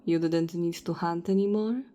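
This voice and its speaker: A woman's voice: fairly low pitch, fairly even intonation, moderate pace, warm tone, close to an everyday voice. A woman playing a cold, self-righteous vampire hunter in an audio roleplay, taunting and contemptuous. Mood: puzzled, mocking.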